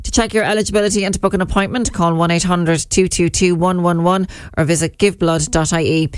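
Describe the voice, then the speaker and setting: A woman with an Irish accent reading a radio news bulletin in a measured, even way at a studio microphone. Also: lowish voice, clear, resonant tone, dry recording. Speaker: newsreader.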